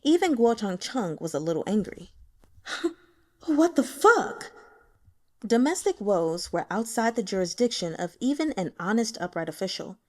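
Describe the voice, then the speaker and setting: Female audiobook narrator, mid-range voice, scripted fiction read with expressive, dramatic phrasing. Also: medium pitch. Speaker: audiobook reader.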